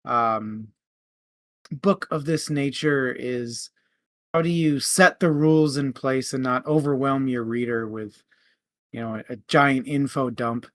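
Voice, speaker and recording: A man's voice: medium pitch, steady tone, unhurried, fairly bright tone, dry recording. A man hosting a casual book discussion in a conversational, explanatory manner. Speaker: podcast host.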